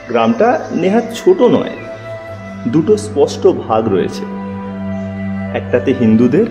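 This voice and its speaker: A man narrating a scripted mystery story in a measured, expressive, dramatic style. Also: mid-range voice. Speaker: story narrator, also voicing the investigator. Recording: long reverb over music and effects. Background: music and birdsong effects.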